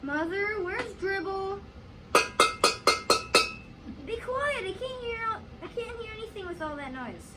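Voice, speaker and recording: A woman's voice: very high-pitched, lively intonation, slow pace, long pauses, voice pushed up, exaggerated voice. A young female reader voicing an upset, possessive fourth-grade boy in an emphatic readers theater style. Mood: worried, loud. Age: child reader, fourth grade. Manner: emphatic, pleading. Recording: distant microphone, clear background noise.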